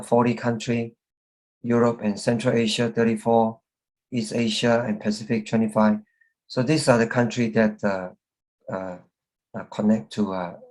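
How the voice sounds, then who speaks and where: A man from Malaysia giving a church presentation, halting and full of fillers and restarts. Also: on the low side, little pitch movement, darkish tone, low-quality recording. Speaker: presenter, reverend.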